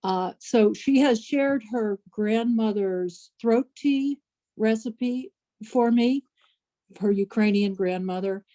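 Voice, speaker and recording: A woman in a group video call, sharing slowly and reflectively. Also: on the high side, mellow. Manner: slow, unhurried, reflective. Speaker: workshop participant.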